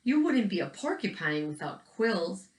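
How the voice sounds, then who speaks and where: A woman's voice: medium pitch, sing-song, unhurried. A kindly old uncle porcupine from a storybook, played by a woman, encouraging and emphatic in his reassurance. The sound is roomy and echoing. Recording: roomy, echoing indoor recording.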